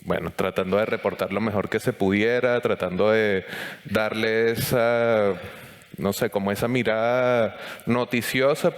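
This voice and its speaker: A Venezuelan man with a Venezuelan accent, telling his own story as a panelist at a conference. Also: on the low side. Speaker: panelist, journalist. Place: conference stage in a hall. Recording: long hall reverb.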